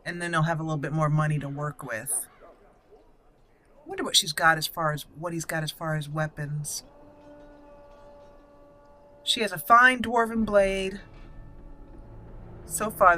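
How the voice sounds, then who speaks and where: A woman's voice: deep voice, a little slow, long pauses, bright, forceful tone, a little hiss or hum. A woman giving casual gameplay commentary, thinking aloud and reading item names out as she goes. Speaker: gaming channel host. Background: video game music.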